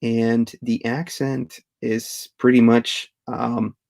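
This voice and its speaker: A man's voice: mid-range voice, little pitch movement, slow pace, gravelly, a little mellow. A man, hosting a live question-and-answer stream, chatting casually and chuckling as he reads viewer comments. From Belgium. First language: Dutch. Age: 30s. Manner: chatty, chuckles often, restarts words. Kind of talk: casual livestream chat reading viewer comments.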